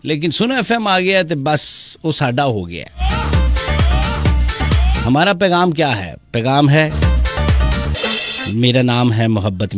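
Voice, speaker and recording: Playful radio host, man. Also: mid-range voice. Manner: playful, chatty, breaks into bits of song. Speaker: radio host taking calls and reading messages. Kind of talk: casual, jokey live radio chat. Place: radio studio.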